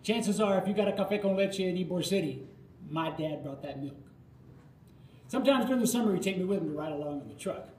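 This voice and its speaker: Higher-pitched older American man, roomy live storytelling. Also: expressive, darkish tone. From Florida, United States. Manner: nostalgic, theatrical storytelling with dramatic pauses. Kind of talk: live autobiographical monologue for radio theatre. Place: live radio theatre performance. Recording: roomy live recording with faint noise.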